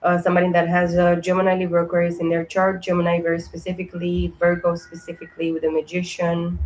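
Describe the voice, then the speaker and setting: A woman with a hoarse, fading voice giving a casual tarot reading, talking haltingly with many fillers and restarts. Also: lowish voice, completely flat delivery, darkish tone, poor audio. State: hoarse, losing her voice. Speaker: tarot reader.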